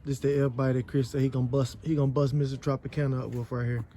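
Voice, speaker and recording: A man's voice: mid-range voice, level tone, very fast, mellow. A man with an American accent hosting a vlog, chatting casually in informal, slangy speech. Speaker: host filming the vlog. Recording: faint background noise, live garage sound.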